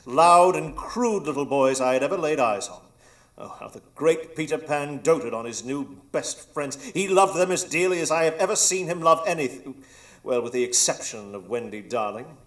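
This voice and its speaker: A man's voice: mid-range voice, animated, moderate pace, close to an everyday voice. A man as a grandiose, scheming old pirate villain. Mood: gloating. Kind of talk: live radio drama acting.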